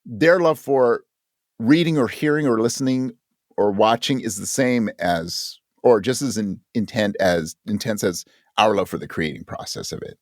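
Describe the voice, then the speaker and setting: A man's voice: lowish voice, moderate pace, dry recording, clean studio sound. A man speaking as a podcast guest in a casual interview, earnest and emphatic, often acting out imagined remarks. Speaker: podcast guest and audio drama creator.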